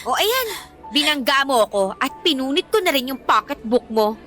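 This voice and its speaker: A haughty Filipino teenage mean girl in a radio drama. Her voice is high and piercing, swinging widely as she taunts and sneers sarcastically. Soft music sits behind her. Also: very animated, normal speed. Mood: spiteful, defiant.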